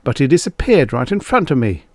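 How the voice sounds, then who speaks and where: A man's voice: mid-range voice, very animated, a little fast, darkish tone, no echo, clean studio sound, pitched above the performer's own voice. A man voicing a flustered off-duty detective in an audiobook, anxious and protesting, with a stammering delivery. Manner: stammering, sputtering. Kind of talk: audiobook character dialogue.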